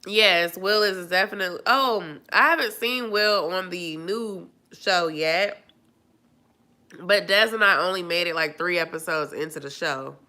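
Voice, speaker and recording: A woman's voice: fairly low pitch, a little slow, sharp tone. Stuffy-nosed woman chatting casually. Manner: chatty, rambling with many fillers. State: stuffy, nasal from a cold. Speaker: vlogger answering live chat. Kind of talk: casual live-stream chat.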